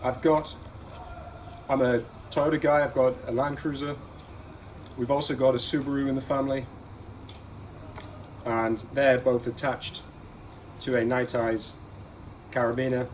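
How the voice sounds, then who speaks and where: Man presenting gear over noticeable background noise. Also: medium pitch. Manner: instructive, advising, stresses key words. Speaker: host of an everyday-carry gear vlog. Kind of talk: casual product presentation to viewers. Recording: clear background ambient noise.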